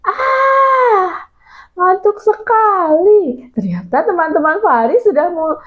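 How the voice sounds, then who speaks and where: A woman telling a story expressively to young children, in a dull lo-fi recording. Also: sits high. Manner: addresses child listeners, expressive. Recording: dull lo-fi sound.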